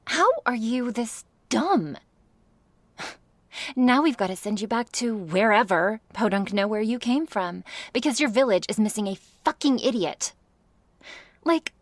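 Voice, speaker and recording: Woman acting a sarcastic, menacing vampire woman, wildly swooping pitch. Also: dry recording, exaggerated voice. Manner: sarcastic, taunting, swearing, dropping into breathy hisses. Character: jealous, foul-mouthed vampire woman, menacing, sarcastic, petty. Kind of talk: ASMR roleplay acting.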